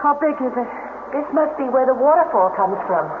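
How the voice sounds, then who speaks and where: An uneasy, spellbound cave explorer with a high, very expressive voice. It is a radio horror play, heard thin and buried in loud noise. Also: female voice, brisk. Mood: wary, curious. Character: uneasy, entranced woman drawn to cave monster. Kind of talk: radio drama acting. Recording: tinny, very noisy old radio broadcast.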